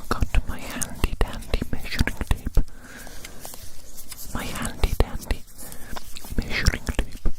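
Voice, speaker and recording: An ASMR performer whispering through a playful roleplay, slowly repeating phrases in a babying way. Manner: slow, repetitive, playful, babying.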